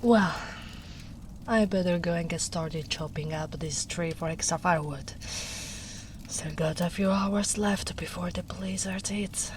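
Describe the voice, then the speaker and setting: A tender, protective big ogre woman, played by a woman speaks in a hushed, half-whispered murmur. Her voice sits a little low and moves at a very slow, unhurried pace, like a soft-spoken storyteller by the fire. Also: a little hiss or hum, close to an everyday voice. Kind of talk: soft-spoken ASMR roleplay.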